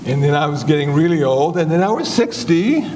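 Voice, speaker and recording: A man in his seventies giving a relaxed, joking talk on Buddhist teachings in a folksy, conversational way. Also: a little high, brisk, a little hiss or hum. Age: 70s. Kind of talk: humorous dharma talk.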